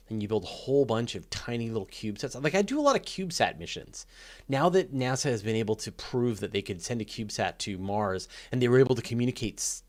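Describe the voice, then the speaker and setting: A man talking casually and off the cuff as the host of a live question session, thinking aloud in a conversational, keen way. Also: medium pitch. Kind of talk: casual unscripted Q&A talk about space and astronomy.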